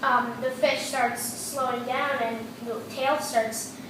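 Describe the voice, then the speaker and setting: A female student gives a halting answer in class, with many ums. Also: high voice, steady tone. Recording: echoey classroom from far off, background noise.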